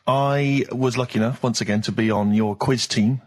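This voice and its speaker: A man's voice: mid-range voice, fairly even intonation, moderate pace. A British man hosting comic radio banter, teasing in a mock-earnest way. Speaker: radio show host.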